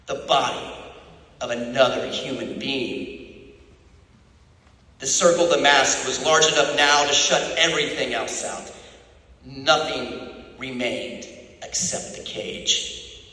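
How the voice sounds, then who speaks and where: Slow, intense male narrator in echoey room. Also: mid-range voice, fairly even intonation, bright, forceful tone. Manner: intense, theatrical, with suspenseful pauses. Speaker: narrator, dramatic reader. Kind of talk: dramatic literary reading.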